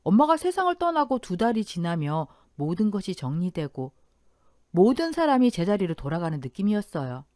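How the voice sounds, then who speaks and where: A woman's voice: medium pitch, very animated, moderate pace, dark tone, dry recording, clean studio sound. A woman reading a scripted first-person life story as a radio drama narrator.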